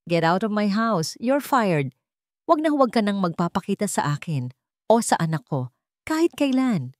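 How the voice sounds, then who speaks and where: A woman's voice: mid-range voice, very animated, normal speed, a little mellow, no room sound, clean studio sound, pitched above the performer's own voice, close to an everyday voice. A woman voicing a furious, cold, commanding rich father with a stern, accusing manner in a narrated story.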